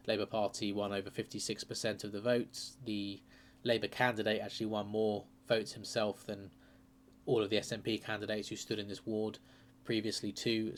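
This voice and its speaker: A man hosting a political channel, talking casually and hesitantly through by-election numbers, his voice a bit croaky from a cold. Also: on the low side, slight background noise. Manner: chatty, hesitant, lots of ums and uhs. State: croaky with a sore throat and cold. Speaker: political commentary channel host.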